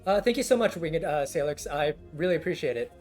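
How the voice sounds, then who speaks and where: A man's voice: a little high, lively intonation, a little mellow, faint music behind. Scratchy-voiced young man chatting on stream. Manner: rambling, halting, many fillers and restarts. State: scratchy, worn-down voice. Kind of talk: casual live-stream chat with viewers.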